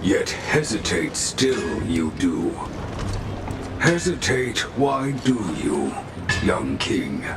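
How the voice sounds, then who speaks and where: Game mentor: kindly cryptic old craftsman, low pitch, extremely slow, inverted phrasing. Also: big pitch swings, loud music behind the voice, heavily acted. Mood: calm, knowing. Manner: inverted word order, halting, deliberate. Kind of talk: dramatic video game voice acting.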